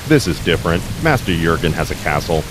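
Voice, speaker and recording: Extremely deep deadpan agent doorman. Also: fast, noisy background. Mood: deferential. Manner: deadpan, formal. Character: solemn, devoted male government agent turned doorman. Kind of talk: animated comedy game voice acting. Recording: music and rain behind.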